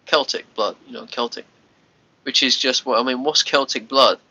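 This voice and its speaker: Scottish man, 30s, stuffed-up livestream host, bright forceful voice, rambling casual chat, dull phone audio. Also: medium pitch, fairly even intonation, normal speed, husky. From Scotland. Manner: rambling, restarts words, chats to commenters. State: congested. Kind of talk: casual live chat with viewers. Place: small bedroom, lying on a sofa. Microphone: phone held at arm's length.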